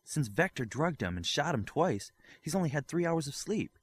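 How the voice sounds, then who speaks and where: A man's voice: a little high, sing-song, moderate pace, husky, pitched above the performer's own voice. Man reading as a caring young heroine. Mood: curious, concerned. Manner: inquisitive, concerned. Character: young female superhero healer, caring and curious.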